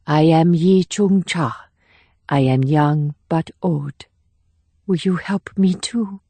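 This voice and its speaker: A woman plays a young female operative in a thriller audiobook, gentle yet guarded and burdened. The voice is measured and a little low, recorded very dry. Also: husky, no echo, heavily acted. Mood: hushed sorrow. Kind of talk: scripted audiobook dialogue.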